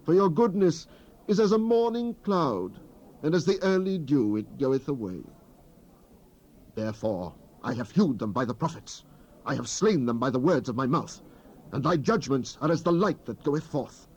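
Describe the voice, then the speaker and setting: A man's voice: on the high side, animated, normal speed, darkish tone. An actor playing a stern prophet pronouncing God's judgment in a solemn, declamatory reading of King James scripture. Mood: grieved, reproving. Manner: solemn, declamatory, archaic phrasing. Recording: dull lo-fi 1970s cassette rip.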